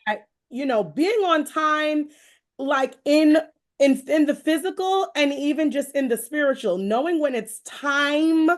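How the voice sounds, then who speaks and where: An American woman hosting a conversational, faith-based interview, speaking in an effusive, rambling way with expressive asides. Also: sits high, a little slow. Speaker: show host interviewing a guest.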